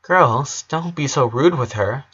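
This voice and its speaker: A man voicing a gentle, protective eleven-year-old boy in a reassuring way, reading a story. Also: mid-range voice, animated, takes it slowly, husky, lo-fi sound, exaggerated voice. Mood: gentle reassurance.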